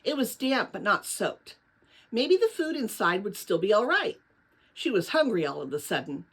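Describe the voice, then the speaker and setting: A woman reading a children's novel aloud as narrator, with dramatic, expressive storytelling. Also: medium pitch, moderate pace, husky.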